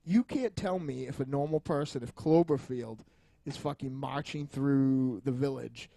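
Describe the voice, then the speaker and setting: A man's voice: mid-range voice, unhurried, creaky, dark tone, no echo. A man co-hosting a podcast in casual, rambling, profane and joking chat. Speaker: podcast co-host.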